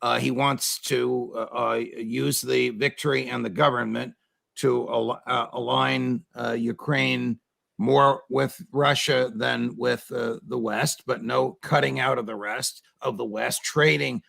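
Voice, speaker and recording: Gravelly American man, pointed political interviewer. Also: medium pitch, little pitch movement, fairly bright tone. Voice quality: gravelly, creaky voice. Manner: emphatic, deliberate, building short statements into incredulous questions. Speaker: talk show host interviewing a guest.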